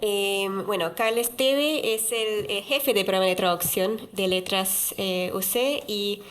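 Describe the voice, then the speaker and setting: A woman moderating a talk in a university auditorium, her voice sitting right in the middle of the range. She speaks haltingly and fills gaps with soft hesitations as she introduces the panel. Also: medium pitch, fairly even intonation. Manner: halting, many filler sounds.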